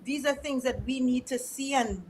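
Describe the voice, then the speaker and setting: A woman, speaking in a slightly higher voice, talks earnestly and reflectively as an interview guest. She often circles back over her words while building a point. A faint hiss sits under the recording. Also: moderate pace. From Caribbean. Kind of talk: conversational interview.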